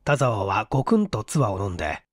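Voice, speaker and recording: A man's voice: lowish voice, big pitch swings, normal speed, no room sound, clean studio sound. A man reading a mystery story as dramatic, suspenseful, theatrical audiobook narration. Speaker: audiobook reader.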